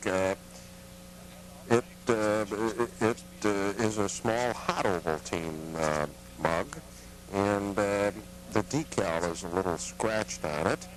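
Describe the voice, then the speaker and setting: Low-voiced hesitant man collector. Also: a little hiss or hum, poor audio. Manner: hesitant, many uh fillers. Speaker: guest collector. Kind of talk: casual chat.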